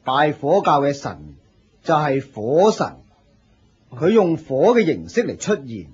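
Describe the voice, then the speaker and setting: A man's voice: a little high, very animated, a little slow, darkish tone, husky, lo-fi sound, heavily acted. A man with a Hong Kong Cantonese accent playing a stern, pompous and zealous eldest disciple in a radio drama, authoritative and dogmatic. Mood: solemn, pompous.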